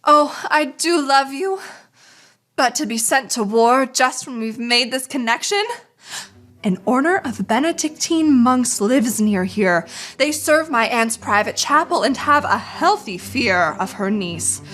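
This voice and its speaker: A woman's voice: a little high, animated, fairly bright tone. A woman playing a haughty, lovestruck noblewoman and army commander with a pompous, theatrical delivery in a radio play. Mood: smitten. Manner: pompous, grandly measured. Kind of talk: theatrical radio drama acting.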